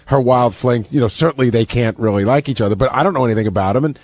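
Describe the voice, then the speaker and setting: Radio commentator, male, middle register, quick delivery, chatty storyteller, dry studio sound. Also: mid-range voice, darkish tone. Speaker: radio show staffer and commentator. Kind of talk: casual radio recap chat.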